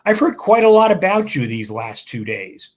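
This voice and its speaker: A brisk woman efficiency auditor with a dry, teasing edge, as a male reader puts her on. Her tone is mildly condescending. The voice sits a little high and is slightly husky. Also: big pitch swings. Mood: mocking.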